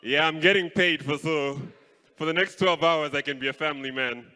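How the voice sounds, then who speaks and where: A young man from Kenya doing live stand-up comedy on a stage mic, playful and animated. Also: on the high side, normal speed. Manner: animated, playful, switches voices. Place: stage in a large hall. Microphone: handheld stage mic. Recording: live hall with long reverb.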